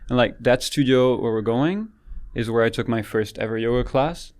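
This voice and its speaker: A man in his late twenties from France with a light French accent, a yoga teacher talking calmly and softly in a casual interview. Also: mid-range voice, normal speed. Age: late 20s. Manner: calm, soft-spoken, frequent restarts and laughs.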